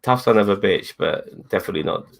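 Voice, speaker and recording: A man's voice: low voice, fast talker, vocal fry. A man co-hosting a casual wrestling review, rambling, repeating himself and swearing freely. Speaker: co-host.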